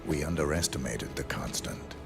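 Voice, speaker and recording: An actor playing a stoic, grim assassin in a video game, gravelly, terse and deadpan. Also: male voice, very deep voice, moderate pace. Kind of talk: cinematic video game acting. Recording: loud ominous music behind voice.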